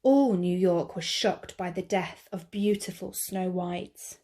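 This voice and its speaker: A woman teacher reading a picture book aloud to children, slow, clear and expressive. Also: fairly low pitch, slight background noise.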